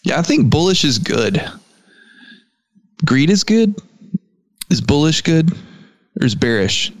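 A man's voice: on the high side, big pitch swings, slow. A man with a West Texas accent co-hosting a sports podcast in casual, joking chat. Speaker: podcast co-host.

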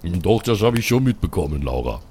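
A man playing a calm, reassuring Viking warrior in an improvised tabletop audio play, speaking in a soothing, patient way. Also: low-pitched, fairly quick, slight background noise. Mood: understanding.

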